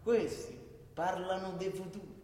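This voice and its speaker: An actor playing a bitter, sarcastic working-class resident in a radio play, indignant and speaking with a Roman accent. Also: male voice, high voice, fairly even intonation, unhurried, darkish tone. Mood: bitter, aggrieved. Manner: sarcastic, indignant, listing grievances. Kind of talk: theatrical radio play dialogue. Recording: echoey hall.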